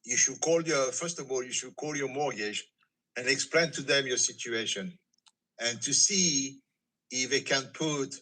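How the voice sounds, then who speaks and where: A man's voice: medium pitch, pushed and bright, poor audio. A man speaking as a mortgage banker on a group call, methodically asking questions and advising, repeating phrases as he cuts in. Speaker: mortgage banker giving advice.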